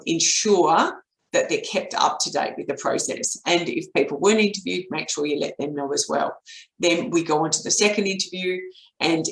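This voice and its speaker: A middle-aged woman with an Australian accent and a voice croaky from a cold, explaining steps as a business coach in a webinar. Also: lowish voice, a bit bright. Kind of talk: explanatory webinar talk with step-by-step recap. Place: indoor home office, at a desk. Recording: roomy, echoey webcam audio.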